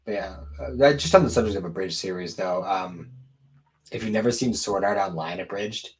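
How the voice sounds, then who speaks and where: A man's voice: low-pitched, a little fast, low-quality recording. A man co-hosting a podcast, talking casually and offhandedly in an informal conversational way. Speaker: podcast co-host. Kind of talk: casual chat about anime and games.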